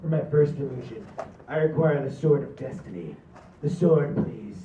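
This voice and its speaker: A man's voice: medium pitch, expressive, normal speed, dark tone, close to an everyday voice. A man playing a pompous, showy, easily exasperated stage magician in a comedy table read. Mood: grandiose. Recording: distant, echoey, phone-like noisy live recording.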